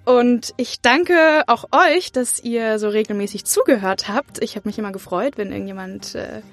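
High-voiced young woman, casual podcast chat. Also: moderate pace. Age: young adult. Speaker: podcast co-host, drama student.

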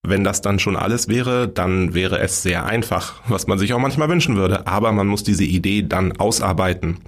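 A man's voice: low-pitched, moderate pace. A man, explaining things informally and step by step as an interview guest. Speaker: radio play workshop leader, interview guest.

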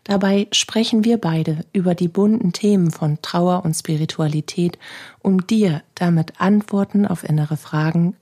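Gentle German woman with a cold. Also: on the low side. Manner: gentle, reflective, asks many questions. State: has a cold. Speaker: podcast host, grief counselor. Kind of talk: casual podcast monologue.

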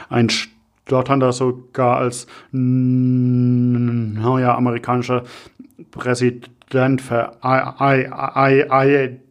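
A podcast host, a man, who asks his questions slowly and in a flat, even tone. He stutters, getting stuck on the first sounds of words, and his voice sits at a middle pitch. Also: dull audio. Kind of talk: interview questions.

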